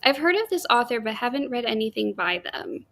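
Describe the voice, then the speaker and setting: Higher-pitched woman chatting about books. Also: big pitch swings, brisk, a bit bright. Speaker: host of a reading livestream. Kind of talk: casual book chat.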